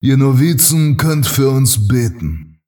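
Man acting a commanding temple guard leader, slow and distant. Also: medium pitch, very animated, darkish tone, faint music behind, pitched above the performer's own voice. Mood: stern proclaiming. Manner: thundering, authoritative proclaiming. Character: commanding adult male temple guard leader, stern and imperious. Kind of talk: fan audio drama fantasy acting.

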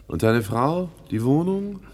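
A man's voice: medium pitch, big pitch swings, measured pace, a little mellow, a little hiss or hum. An actor playing a slick, self-important small-time crook turned businessman, pompous and self-satisfied, in a radio play. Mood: astonished. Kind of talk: 1960s German radio play acting.

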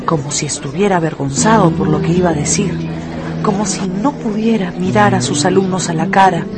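Expressive woman narrator over music. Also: lowish voice, a little fast. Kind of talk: dramatised storytelling narration for radio.

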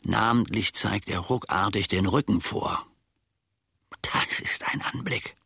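Lampe, a slow, gravelly old manservant. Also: male voice, fairly low pitch, sharp tone, no room sound, studio quality, full character voice. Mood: grumbling, confiding. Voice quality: gravelly, husky. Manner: halting, mock-formal, aggrieved storytelling. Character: Lampe, grumbling, self-important old manservant of a philosopher. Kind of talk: German radio play monologue.

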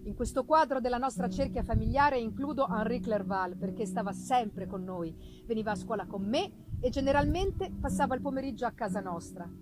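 A woman gives a dramatic reading of a gothic novel, expressive and theatrical, with deliberate pauses, as a literary narrator. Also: a little high, low-quality recording. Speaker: reader performing a literary text.

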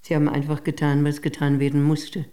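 Actress as old woman in her eighties, dry, faintly ironic, mellow dark tone, radio drama testimony. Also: low-pitched, completely flat delivery, brisk, close to an everyday voice. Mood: dry, firm. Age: older. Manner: dry, matter-of-fact. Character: dry old general's daughter, 84, mildly ironic.

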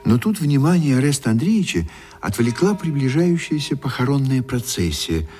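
An actor narrating a period tale for a radio play in an expressive, measured storytelling manner. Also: male voice, mid-range voice, natural voice. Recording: radio drama with faint music behind.

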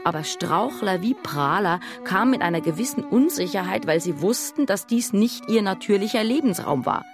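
An actress playing a grown woman who recalls her girlhood in measured, literary radio drama narration. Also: mid-range voice, expressive, close to an everyday voice. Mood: reflective, composed. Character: adult female narrator recalling her girlhood, composed storyteller. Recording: studio radio drama with music.

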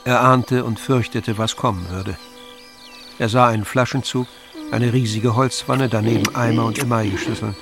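A man's voice: mid-range voice, fairly even intonation, normal speed. A man narrating a literary story in an audio drama, reading scripted prose. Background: clear music.

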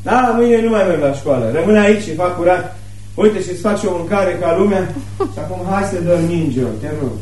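An actor playing a gentle young man in a radio play, solicitous and softly insistent, fussing like an older sibling. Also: sits high, animated. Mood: reassuring, tired. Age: young adult. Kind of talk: radio theatre acting. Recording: noisy radio drama recording with hum.

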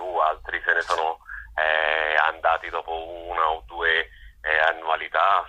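A man being interviewed as an event organizer, speaking unscripted and hesitantly, often pausing to search for words. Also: a bass voice, sharp tone, rough recording.

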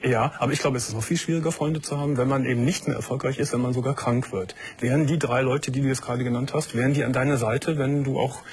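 A man's voice: mid-range voice, fairly even intonation, quick pace, hardly any pauses, darkish tone, slight background noise. A man hosting a night-time call-in radio show, attentive and encouraging, often affirming with short interjections.

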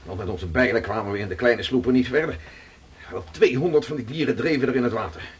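A man's voice: medium pitch, fast talker, husky. A man playing a hearty returning seaman. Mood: storytelling. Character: seafaring man back from Arctic voyage, adult. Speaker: actor in radio play. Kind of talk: radio drama acting.

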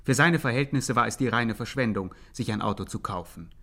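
An actor as a dry, mock-formal commentator in a scripted radio crime satire. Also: male voice, medium pitch, brisk, pushed and bright. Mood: ironic. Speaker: narrator. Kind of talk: scripted radio play narration, 1950s.

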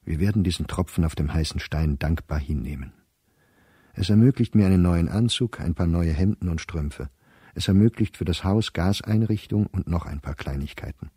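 A middle-aged scholar reading his private diary in a radio drama. The voice is very low and dark, with a husky grain, recorded dry and close. He speaks with restraint, isolated, anxious and resigned. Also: normal speed, no echo. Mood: wry, weary. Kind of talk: literary radio-play diary monologue.

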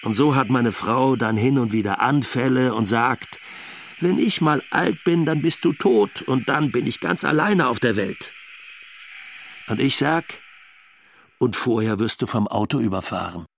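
An actor playing a blunt, cynical older husband in a documentary radio play monologue, with sardonic delivery. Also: male voice, medium pitch, a little slow, gravelly, a little hiss or hum.

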